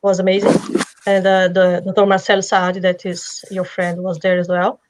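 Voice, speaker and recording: A woman hosting a video call panel. She asks long questions haltingly, with many fillers and restarts. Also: lowish voice, completely flat delivery, background noise. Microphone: video call webcam.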